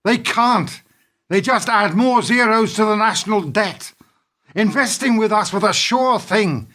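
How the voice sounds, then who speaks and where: An actor playing a weary, reproachful middle-aged business partner in a radio play, speaking in an earnest, measured way. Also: male voice, high voice, lively intonation, sharp tone, full character voice. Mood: firmly argumentative.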